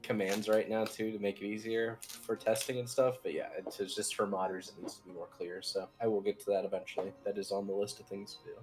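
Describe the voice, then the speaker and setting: A man's voice: on the low side, little pitch movement, quick pace, few pauses, over music. A man giving an informal technical tutorial as team lead, explaining things in a loose, rambling way. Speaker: software team lead, instructor.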